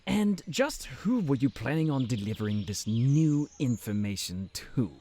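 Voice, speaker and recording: A haughty fantasy official, acted by a man, condescending and smug. He draws out formal phrases slowly, with wildly swooping intonation. Faint outdoor ambience sits behind the voice. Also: lowish voice, a little mellow, a little hiss or hum, heavily acted. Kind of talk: fantasy audio roleplay acting.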